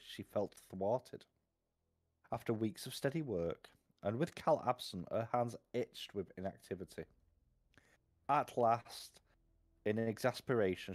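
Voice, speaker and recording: A man narrating a fantasy novel aloud at an unhurried pace, now and then stumbling and correcting himself. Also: lowish voice, darkish tone. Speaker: live stream reader.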